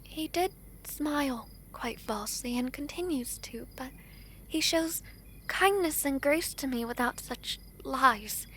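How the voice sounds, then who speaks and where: A woman playing a gentle, earnest young princess in a romantic audio drama, speaking softly in formal, hesitant phrases. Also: high voice, takes it slowly, slight background noise, full character voice, poor audio. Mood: earnest, tender.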